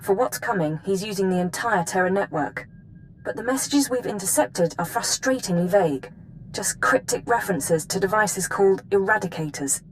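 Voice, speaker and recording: An actress as a cool female intelligence officer in a sci-fi game. Her medium-pitched briefing is precise and formal. Clear ambient noise sits behind her. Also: animated, moderate pace, natural voice, rough recording. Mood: businesslike. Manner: precise, formal, matter-of-fact. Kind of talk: video game voice acting, mission briefing.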